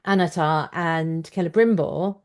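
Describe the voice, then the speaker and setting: A woman's voice: deep voice, measured pace, a little mellow. A woman hosting a conversational interview, explaining her views in an analytical way. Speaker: podcast host and interviewer. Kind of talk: conversational book and screen discussion.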